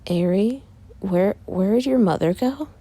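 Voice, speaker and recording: A woman voicing an alarmed, stern father in a story, speaking in halting commands. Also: mid-range voice, warm tone.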